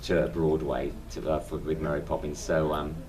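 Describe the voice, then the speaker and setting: A man's voice: extremely low pitch, level tone, moderate pace, distant mic. A man with a London accent, a stage actor telling anecdotes in a casual interview, often restarting his sentences. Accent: London English. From England. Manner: chatty anecdotal storyteller with frequent restarts.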